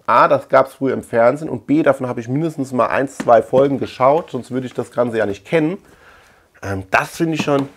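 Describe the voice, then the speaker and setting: A man in his thirties talking casually in a chatty, digressive way, thinking aloud as he hosts a collector vlog. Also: mid-range voice. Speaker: host of a collector video channel. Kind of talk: casual rambling vlog talk. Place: small indoor room lined with shelves.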